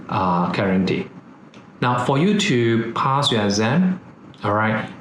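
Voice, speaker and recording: A man's voice: on the low side, roomy sound, a little hiss or hum. A middle-aged man with a Malaysian English accent, frankly explaining certification details in a live webinar talk, with frequent "uh" fillers. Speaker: company CEO presenting training.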